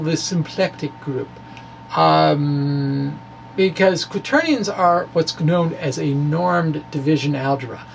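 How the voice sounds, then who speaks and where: A man giving an informal, animated explainer of his own material, with wry asides and a gravelly edge to his voice. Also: sits high, slight background noise, low-quality recording. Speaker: physics blogger presenting his own puzzles. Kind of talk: informal explainer, reading and discussing a blog.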